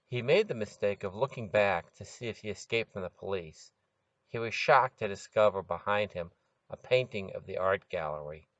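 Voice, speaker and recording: A man hosting a podcast, reading scripted narration in an expressive, presentational way. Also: on the low side, no echo. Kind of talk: scripted narration of short fiction and tips. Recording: dull, dry lo-fi sound.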